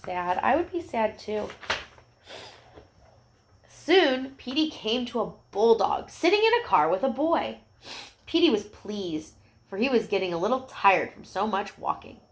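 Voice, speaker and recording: A woman's voice: a little high, very animated, measured pace, a little hiss or hum. Woman reading a children's storybook aloud. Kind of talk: read-aloud storybook narration with asides to children.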